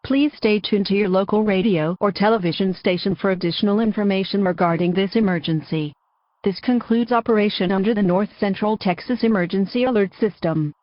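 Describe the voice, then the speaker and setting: A woman's voice: mid-range voice, fairly quick, no echo, highs cut off. A woman reads a formal scripted broadcast announcement as an emergency alert announcer, procedural and impersonal.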